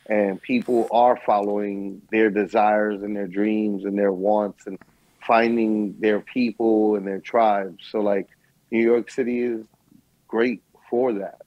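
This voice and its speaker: A man's voice: fairly low pitch, dry recording, muffled recording. A man talking casually on a podcast in slangy language, often repeating and circling back on his phrases. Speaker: podcast speaker. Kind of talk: casual chat.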